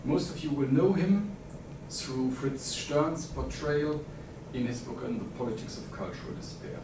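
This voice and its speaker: A German-accented man gives a formal academic paper in a measured, pausing delivery. His voice sits at an ordinary middle pitch and carries through a roomy, echoing hall. He is picked up from some distance, with clear background noise under him. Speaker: academic conference speaker. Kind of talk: academic conference paper reading. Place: conference hall. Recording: echoey hall, distant mic, noisy.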